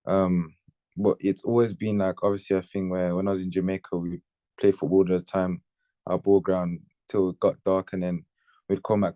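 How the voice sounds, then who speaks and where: Young man, late teens, deep dark voice, casual slangy interview chat, laid-back. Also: no echo. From Birmingham, England. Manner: laid-back, slangy, with tags like innit and you know what I mean. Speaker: footballer being interviewed.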